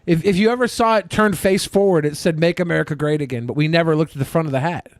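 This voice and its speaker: A man's voice: fairly high pitch, fast talker, no room sound, studio quality. A man joking around on a casual comedy podcast, repeating teasing lines. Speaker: podcast panelist.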